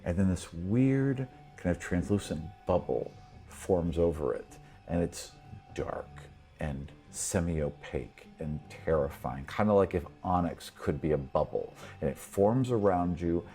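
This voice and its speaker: A man's voice: deep voice, animated, unhurried, mellow, faint music behind. A man working as game master, narrating a tabletop scene off the cuff in a conversational, descriptive way with rambling asides.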